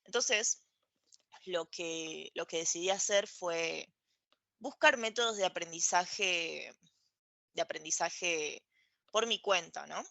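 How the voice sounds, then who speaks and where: A woman's voice: on the low side, takes it slowly, gravelly, pauses often, pushed and bright, no echo. A woman, explaining her experience as a podcast guest and checking in with tag questions. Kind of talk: casual conversation, explaining. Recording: dry, dull lo-fi audio.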